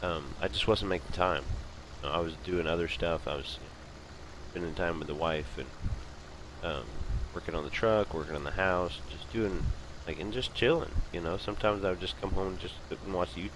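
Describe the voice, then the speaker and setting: A man's voice: sits low, brisk. A man in casual, rambling commentary while playing a farming game, talking in a laid-back way with lots of fillers. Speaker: gaming channel host. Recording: clear background noise.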